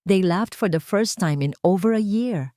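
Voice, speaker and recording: A woman's voice: medium pitch, expressive, unhurried, warm tone, dry recording, studio quality, lightly acted. A woman voicing a protective father in an audio drama, curt, cold and challenging as he stands his ground.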